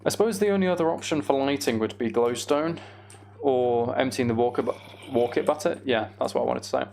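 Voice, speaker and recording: A man's voice: medium pitch, fairly quick, vocal fry, darkish tone, faint music behind. A man giving casual, self-deprecating gameplay commentary, musing aloud as he goes. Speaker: gaming video host.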